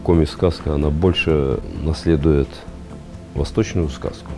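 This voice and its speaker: A man's voice: rumbling low, steady tone, a little slow, over music. A man speaking as an expert in an interview, explaining things in unscripted talk.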